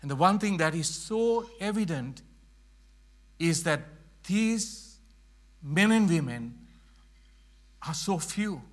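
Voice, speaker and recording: A middle-aged man from Sri Lanka giving an earnest church presentation, slowing down to stress key phrases. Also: a little high, expressive. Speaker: mission speaker.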